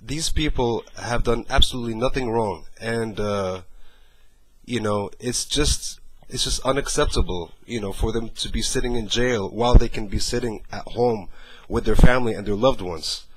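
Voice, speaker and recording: Man in his thirties, low voice, earnest and hesitant, recorded at a webcam in a bedroom. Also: moderate pace, husky, no echo, low-quality recording. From Morocco. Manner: earnest, hesitant, many fillers. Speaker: activist caller. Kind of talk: earnest monologue and phone call. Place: small bedroom indoors.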